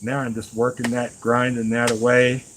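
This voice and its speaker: A middle-aged man with a medium-pitched voice talks in a loose, folksy way to his live stream audience while doing craft work. He rambles informally and addresses viewers directly. A faint, steady noise sits behind his voice. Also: normal speed. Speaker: live streamer and knife maker. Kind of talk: casual live stream chat while working.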